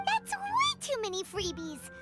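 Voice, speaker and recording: A woman voicing a tiny, childlike, chatty fairy companion with a squeaky, exclamatory delivery in an anime-style game. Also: huge pitch swings, measured pace, loud music behind the voice, heavily acted. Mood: excited. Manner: speaks of herself in third person, exclamatory.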